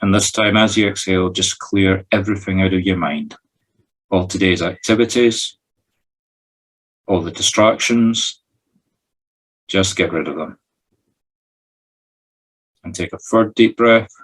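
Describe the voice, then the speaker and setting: Male Scottish meditation guide, low-pitched husky voice, short spoken instructions with an improvised feel. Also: steady tone, unhurried, pauses often. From Scotland. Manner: instructing in short, separate phrases. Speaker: medium leading a guided meditation. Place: recorded over a video call.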